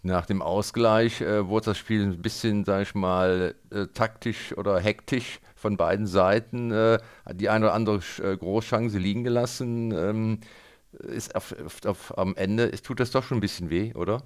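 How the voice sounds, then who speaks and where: A man's voice: on the low side, fairly even intonation, moderate pace, clean tone. A man working as a sports radio interviewer, putting questions to a guest after a match.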